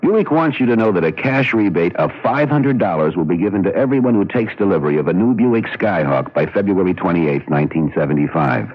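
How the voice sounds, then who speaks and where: A man's voice: low voice, slightly rough. A man announcing a 1970s radio commercial from a script. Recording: muffled vintage radio recording.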